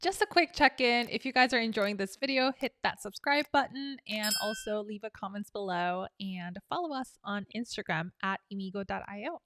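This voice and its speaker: A woman's voice: mid-range voice, normal speed, few pauses, a bit bright, no echo. A young woman from South Korea, teaching an English lesson in a chatty, upbeat way. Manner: chatty and upbeat, explaining with examples. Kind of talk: casual online English lesson.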